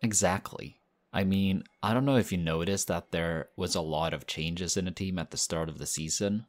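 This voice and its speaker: A man voicing a friendly, modest young male team captain in an audio roleplay, talking in a relaxed, chatty way. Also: low voice, normal speed, natural voice. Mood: excited, friendly.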